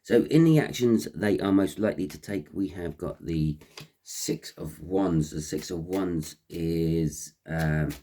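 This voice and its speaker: A man with a croaky voice and a head cold, giving an unscripted tarot reading in a halting, repetitive way. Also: low-pitched, mellow. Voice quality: croaky, husky. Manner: halting, repeating phrases as he explains. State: has a cold with a runny nose. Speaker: tarot reader and host.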